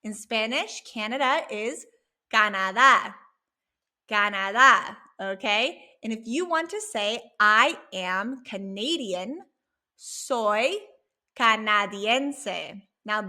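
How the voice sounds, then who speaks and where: A woman teaching a language lesson, drilling words slowly and encouraging the learner after each one. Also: a little high, animated, bright, forceful tone. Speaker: Spanish teacher.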